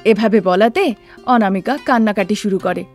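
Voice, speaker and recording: A woman reading scripted narration for a storybook cartoon in a steady, plain way. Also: mid-range voice, normal speed, faint music behind.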